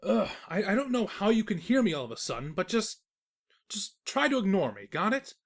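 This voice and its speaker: A woman narrator reading a scripted comedic story in an expressive, wry storyteller manner. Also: medium pitch, a little fast.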